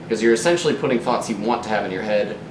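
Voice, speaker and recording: A man's voice: on the low side, very fast, distant mic. A young man co-hosting a casual chat, talking in a wry, irreverent, sweary way. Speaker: co-host. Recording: echoey noisy room, off mic.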